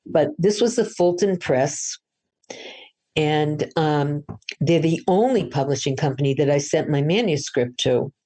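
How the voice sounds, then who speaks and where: Older woman from Boston, low chatty voice. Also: expressive, a little slow, dark tone. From Boston, USA. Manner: chatty, storytelling, frequent restarts. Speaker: author, interview guest. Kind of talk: casual interview answers.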